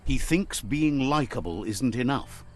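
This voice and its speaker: Man acting as a lively storybook game narrator. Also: mid-range voice, unhurried, close to an everyday voice. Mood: grave. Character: male storybook narrator relaying creatures' words, wry and fond.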